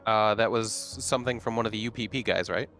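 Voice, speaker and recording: Man, gravelly creaky voice, lowish pitch, casual game player, faint music behind. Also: fairly quick, fairly bright tone. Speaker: player in a tabletop roleplaying game. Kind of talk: casual chat.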